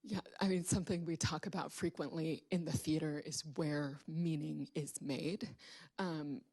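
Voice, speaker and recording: Female panelist, low-pitched, measured and explanatory, speaking in a live public discussion. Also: fairly even intonation, dark tone, breathy edge. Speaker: theater artist on a panel. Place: public event room at a library.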